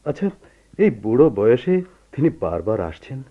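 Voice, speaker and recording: A man playing an authoritative yet caring senior office boss in a radio drama, with a measured, persuasive way of talking. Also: mid-range voice, sing-song. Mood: pleading. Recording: dull lo-fi radio drama recording.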